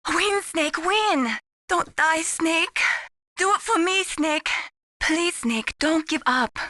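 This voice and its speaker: A woman voicing an earnest, caring young mission support analyst in a video game, encouraging and gently solicitous. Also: very high voice, slow, no echo, heavily acted. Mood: pleading, encouraging.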